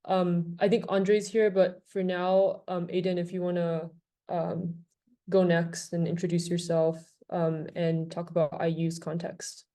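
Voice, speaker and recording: A woman's voice: lowish voice, little pitch movement, unhurried, warm tone. A woman hosting an online gathering, moderating casually with tentative, loose phrasing. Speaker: host and moderator. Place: online video call.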